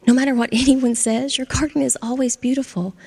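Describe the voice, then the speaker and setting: A woman voicing a wise, gentle old oak tree in a storybook, speaking in a soft, hushed whisper. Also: medium pitch, fairly even intonation, a little fast, vocal fry, husky, full character voice. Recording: long hall reverb.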